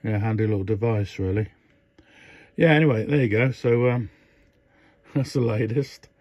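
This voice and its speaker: A man's voice: fairly low pitch, normal speed, a little mellow, no echo. An older man casually demonstrating a radio modification at his workbench, rambling with many fillers and restarts. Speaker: radio hobbyist showing a modification. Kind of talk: casual hands-on demo. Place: indoor workbench. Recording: dull, dry lo-fi phone audio.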